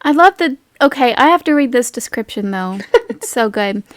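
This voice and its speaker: A woman's voice: on the high side, animated, moderate pace, no room sound. A woman game master narrating a tabletop roleplaying game in a casual, giggly, playful and conversational way.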